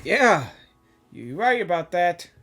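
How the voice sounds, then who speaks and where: A woman giving casual, excitable gaming reaction commentary, slangy, repeating words for emphasis. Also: lowish voice, slow pace. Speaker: gaming reaction video host. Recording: game music faintly behind.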